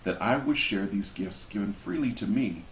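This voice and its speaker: Deep-voiced man reading aloud in a roomy space. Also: expressive, normal speed. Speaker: reader of a book introduction. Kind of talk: expressive literary reading aloud. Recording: roomy echo with background noise.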